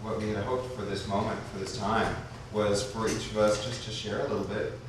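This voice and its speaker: A man with halting phrasing, informally opening a sharing circle as the group's facilitator. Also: on the low side, little pitch movement. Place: large indoor classroom with wooden floor. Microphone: camera mic from across the room. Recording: echoey room, distant camera mic, noisy.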